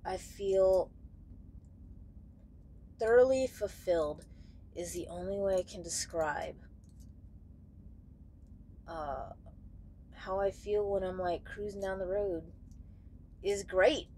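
A young woman talking casually and candidly to camera in a halting way, searching for words as she goes. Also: medium pitch, dark tone, slight background noise, low-quality recording. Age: young adult. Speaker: truck driver vlogger. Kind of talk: casual vlog talk to camera. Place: small padded truck sleeper bunk, indoors.

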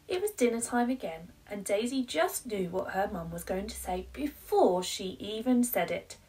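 A woman's voice: medium pitch, sing-song, moderate pace, warm tone, far from the mic, poor audio. A woman, reading a picture book expressively to young children like a primary teacher.

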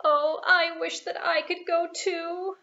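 A woman's voice: very high voice, level tone, slow pace, sharp tone, dull audio, pitched above the performer's own voice, heavily acted. A woman voicing a sweet, meek, wistful young servant girl in a puppet show for children.

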